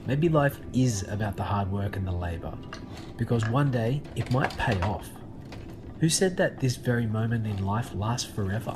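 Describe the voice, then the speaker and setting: An actor plays a thoughtful everyman hero in an audio drama. His voice is fairly low, earnest and a little hesitant, over clearly audible background noise. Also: lively intonation, moderate pace, close to an everyday voice. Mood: pensive, stubborn. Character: earnest, thoughtful ordinary man facing strange worlds. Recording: noisy ambience behind the voice.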